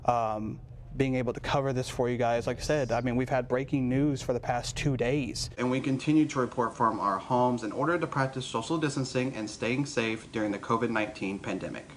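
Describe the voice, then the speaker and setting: A man's voice: medium pitch, a little fast, a little hiss or hum. A young American man reporting the news in a broadcast style, in live stand-ups and scripted narration. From Oklahoma, United States.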